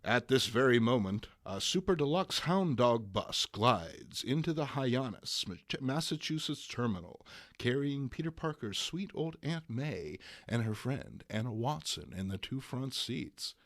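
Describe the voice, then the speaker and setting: A man of ordinary pitch hosts a comic book reading. His delivery is theatrical and mock-grandiose, with big swings in intonation. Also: sing-song, unhurried, fairly bright tone. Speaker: host and comic book reader. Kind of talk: theatrical introduction and dramatic storytelling.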